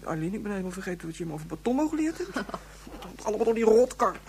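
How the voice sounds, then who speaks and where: An actress playing a sulky, indignant, literal-minded child in a radio drama, with tumbling words. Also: female voice, mid-range voice, sing-song, quick pace, mellow. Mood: muttering. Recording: noisy track with hum.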